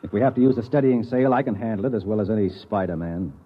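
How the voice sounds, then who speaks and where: A man playing a gruff, arrogant American exploration veteran with a lowish, curt, hard-boiled delivery. The old radio drama recording is thin and lightly noisy. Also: quick pace, phone-quality sound, lightly acted. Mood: gruff, superior. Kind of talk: 1950s radio drama acting with first-person narration.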